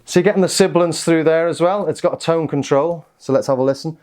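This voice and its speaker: A man's voice: a little high, fast, loud music behind the voice. A man giving a casual product demonstration, informal and understated, thinking aloud as he goes. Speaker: synth reviewer and demonstrator.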